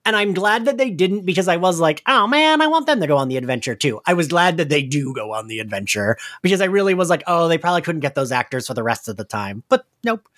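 A man's voice: fairly high pitch, lively intonation, fast, hardly any pauses, fairly bright tone. A man co-hosting a casual fan recap podcast in a chatty, gushing way. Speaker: podcast co-host.

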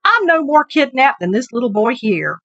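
A woman's voice: high voice, big pitch swings, normal speed, fairly bright tone, no echo, voice pushed up, exaggerated voice. A woman reading a story, playing a good-humoured, folksy female cab driver telling an anecdote. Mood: amused. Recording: dull lo-fi audio, background noise.

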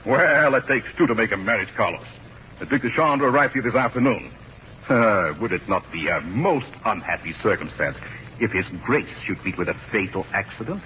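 A powerful, scheming general of old radio drama. He speaks in a low, very animated voice with courtly, oily menace. The recording is dull and slightly hissy. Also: normal speed. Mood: plotting. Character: scheming, suave Spanish general, powerful villain. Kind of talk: 1940s radio drama acting.

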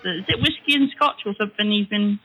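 A woman's voice: mid-range voice, quick pace, phone-quality sound. A woman co-hosting an unscripted comedy talk radio show on a headset mic, playful and giggly. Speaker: radio co-host.

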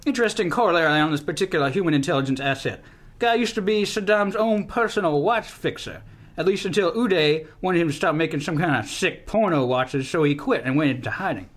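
A man's voice: a little high, expressive, a little fast. A man acting a folksy, rambling, scheming American general in a comic radio play. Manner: folksy, rambling, drawling asides. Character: blustery American general, scheming, folksy, older male officer.

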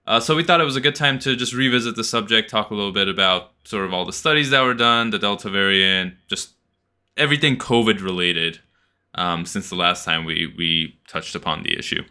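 A man's voice: lowish voice, brisk, bright, forceful tone, clean tone. A man co-hosting a podcast in casual conversation, with a playful, teasing way of talking. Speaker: podcast co-host.

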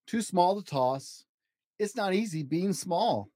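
Animated man reading a children's picture book. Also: sits high, slow pace, no echo, studio quality. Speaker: podcast host reading picture books aloud. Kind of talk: expressive children's storybook reading.

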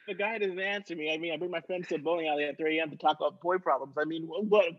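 A woman's voice: sits low, fairly even intonation, quick pace. A whiny, lovesick ditz, played by a woman in an improv sketch, rambling like a valley girl. The sound is a little dull. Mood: whiny, pleading. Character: whiny lovesick ditzy woman seeking boy advice.

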